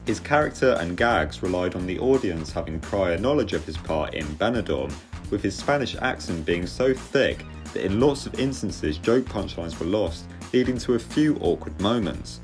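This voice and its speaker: A British man with a low voice delivers a scripted review. He is measured and articulate, stating his opinions plainly while music plays clearly behind him. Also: lively intonation. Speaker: theatre reviewer and host. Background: music under the voice.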